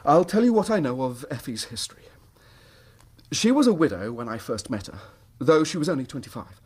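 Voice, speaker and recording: A man's voice: mid-range voice, big pitch swings, fairly quick, darkish tone, breathy edge. An actor playing an earnest, troubled middle-class English husband telling his story in formal period language for a radio drama.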